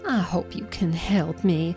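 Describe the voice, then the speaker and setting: A woman's voice: on the low side, unhurried, darkish tone, faint music behind. A woman playing an apprehensive, polite patient in an audio drama, speaking hesitantly. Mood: nervous politeness. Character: apprehensive, polite female patient troubled by dreams.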